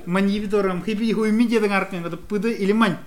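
A man interpreting a sermon phrase by phrase, quick and hesitant, with fillers. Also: sits high, fairly even intonation.

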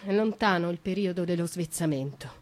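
An actress playing an imprisoned young noblewoman in a theatrical radio monologue, speaking intimately and dramatically with deliberate pauses. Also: lowish voice, natural voice. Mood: bitter, accusing. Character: imprisoned young noblewoman, wounded, confiding, bitterly defiant. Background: music.

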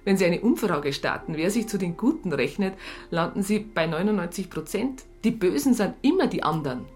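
An actress playing a disillusioned police psychologist in a radio drama, blunt and sardonic. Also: female voice, fairly low pitch, expressive, brisk, a little mellow, soft background music, lightly acted. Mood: resigned, sardonic. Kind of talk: naturalistic radio drama dialogue.

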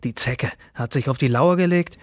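Ein Schauspieler spielt im Hörspiel einen bodenständigen Kollegen, der umgangssprachlich und sachlich erzählt. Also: male voice, mid-range voice, moderate pace, dry recording, studio quality, close to an everyday voice. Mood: matter-of-fact, wry. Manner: colloquial, matter-of-fact. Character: plain-spoken male postal colleague recounting events. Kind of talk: radio drama monologue.

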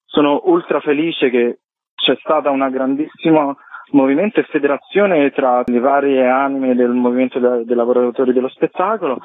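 A man's voice: medium pitch, normal speed. A man speaking off the cuff as an interview guest, with an opinionated, emphatic delivery. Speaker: guest, performing arts activist. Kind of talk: spontaneous interview talk. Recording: narrow phone line, roomy echo.

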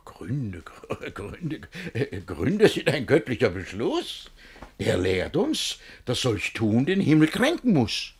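An actor playing a domineering, scheming, jealous older guardian in a verse comedy radio play, speaking in a theatrical, lecturing way with a husky voice. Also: male voice, medium pitch, huge pitch swings, takes it slowly, exaggerated voice. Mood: pompous lecturing.

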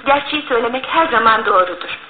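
Actress as a polite, high-voiced young lady. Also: expressive, fast talker, close to an everyday voice. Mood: earnest, hurt. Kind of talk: Turkish radio theatre acting. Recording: tinny old radio broadcast with clear noise.

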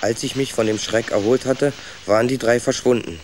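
Young rat hero and storyteller, played by a man: curious, stubborn, medium-pitched, children's radio drama with ambient effects behind. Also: fairly even intonation, brisk, a little mellow, a little hiss or hum, lightly acted. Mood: absorbed storytelling. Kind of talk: first-person storytelling in children's radio play.

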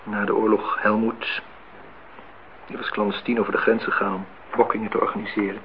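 A man's voice: lowish voice, flat delivery, fairly quick, close to an everyday voice. An actor playing a guarded, laconic man in his early thirties in a Dutch radio play, answering tersely and dryly. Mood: reflective. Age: 30s. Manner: terse, dry replies. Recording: dull, noisy old radio play recording.

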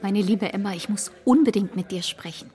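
An actress playing a motherly older friend who eagerly shares a hushed suspicion, in a radio play. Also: mid-range voice, fairly quick, natural voice. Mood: confiding.

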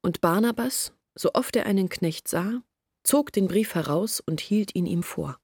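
A breathy confiding village woman. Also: medium pitch, expressive, unhurried, a little mellow, dry recording. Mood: earnest, confiding. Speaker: Olga, a village woman telling her family's story. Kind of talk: German radio play monologue.

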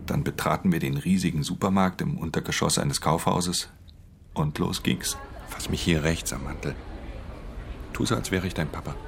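Very deep, gravelly, brooding thief. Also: male voice, moderate pace, slight background noise. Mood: matter-of-fact, then gruff, wary. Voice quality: very deep, gravelly, breathy in hushed passages. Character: brooding, weary male thief narrating his own story. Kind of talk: radio drama first-person narration.

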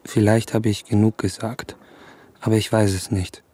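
A man playing an earnest, shy, enamoured young gentleman in a literary radio play, speaking tenderly and dreamily. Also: on the low side, level tone, normal speed, husky. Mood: dreamy, tender.

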